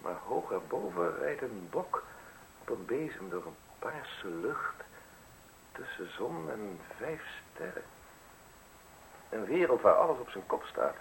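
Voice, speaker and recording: An actor playing a sly, falsely modest male visitor in a radio play, speaking in deliberate, ornate, theatrical phrases with pauses. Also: medium pitch, big pitch swings, creaky, a bit bright, full character voice, rough recording. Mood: dreamy, wry. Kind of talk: Dutch radio play acting. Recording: hissy radio drama recording.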